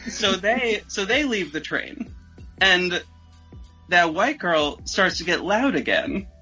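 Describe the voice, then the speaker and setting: A man's voice: sits high, takes it slowly, dull audio, faint music behind. A man telling a story casually on a podcast, swearing freely and acting out what people said. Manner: expressive, acts out quoted remarks. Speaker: podcast speaker.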